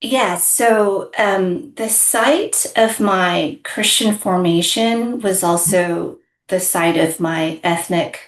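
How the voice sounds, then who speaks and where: A middle-aged woman from Southern California, an ethics professor and book author, answering interview questions in an articulate, measured way. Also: lowish voice, echoey room. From United States (Southern California). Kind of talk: interview conversation.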